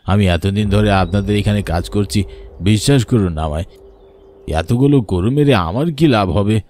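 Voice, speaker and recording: An actor voicing humble, loyal village farmhands in a horror cartoon, deferential and pleading in manner. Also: male voice, fairly low pitch, normal speed, a little mellow, lightly acted. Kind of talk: dramatised horror cartoon dialogue. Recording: music bed under the voice.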